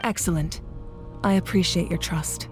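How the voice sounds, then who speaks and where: A woman's voice: lowish voice, steady tone, moderate pace, darkish tone, soft background music, lightly acted. A woman voicing a resolute leader of a warrior nation in a video game, composed, formal and authoritative. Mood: steady, considerate.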